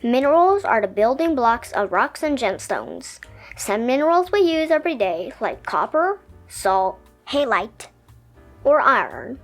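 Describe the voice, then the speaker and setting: A woman reading a children's science picture book aloud in an expressive, explanatory storytelling way. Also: a little high, unhurried, soft background music.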